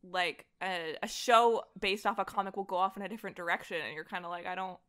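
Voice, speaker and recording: A woman's voice: fairly low pitch, fast, a bit bright, smooth. A woman talking as a podcast guest in an informal, enthusiastic conversation. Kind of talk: casual chat.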